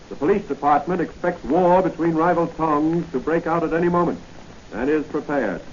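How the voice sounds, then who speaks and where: High-voiced dramatic radio serial announcer, man. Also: darkish tone. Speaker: announcer and narrator. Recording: dull, noisy 1940 radio recording.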